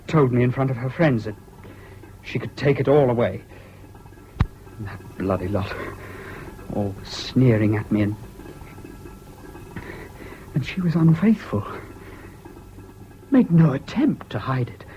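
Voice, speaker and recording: An actor in a 1970s British radio drama playing a troubled, self-pitying jilted husband, halting and plaintive, on an old recording. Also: male voice, mid-range voice, expressive, faint music behind, close to an everyday voice. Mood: hurt, bewildered. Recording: dull, faintly noisy old radio recording.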